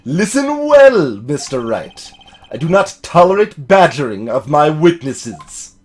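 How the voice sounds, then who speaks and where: A man voicing an arrogant older prosecutor in a video game, with pompous, menacing, drawn-out speech. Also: on the high side, very animated, darkish tone, slightly rough, exaggerated voice. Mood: disdainful, menacing. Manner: slow, drawn-out, pompous delivery.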